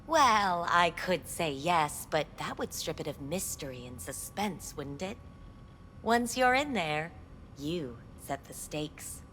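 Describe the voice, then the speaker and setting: A woman playing a poised, enigmatic receptionist at a futuristic club in a video game, with formal, measured, coy delivery. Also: sits low, big pitch swings, a bit bright, slight background noise, heavily acted. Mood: coy, inviting. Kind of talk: video game voice acting, scripted dialogue.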